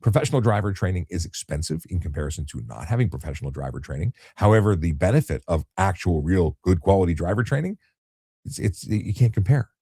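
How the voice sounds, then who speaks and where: Low, husky man. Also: quick pace, dry recording, studio quality. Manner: calm, explanatory, many restarts and fillers. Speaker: retired traffic police officer, live-stream host. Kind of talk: relaxed late-night live chat reading viewer questions.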